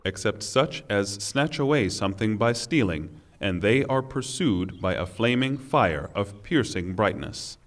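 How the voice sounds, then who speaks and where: A man reading a scripture translation in a formal, solemn and deliberate way. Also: fairly low pitch, animated, in a large hall. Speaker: reader of Quran translation.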